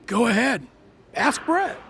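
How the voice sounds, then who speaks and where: A man playing a cocky, foul-mouthed, taunting bully in an audiobook, sneering and jeering in a raspy voice. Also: extremely high pitch, big pitch swings, slow, a bit bright, slight background noise, heavily acted. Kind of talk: audiobook character acting.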